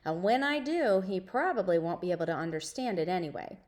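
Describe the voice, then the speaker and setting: A woman reading a children's novel aloud in an expressive storytelling style. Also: fairly low pitch, a little fast.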